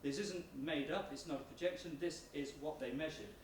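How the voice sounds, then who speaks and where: A man's voice: a little high, brisk. A man giving a persuasive public presentation as an academic researcher, speaking emphatically. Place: large indoor room at a public meeting. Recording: roomy hall, distant mic, background noise.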